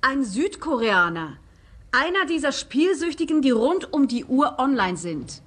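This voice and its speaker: A woman's voice: high voice, very animated, unhurried, fairly bright tone, heavily acted. A woman playing a brash, suspicious young internet café worker in a radio play, snappy and cocksure. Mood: absorbed. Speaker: supporting actor.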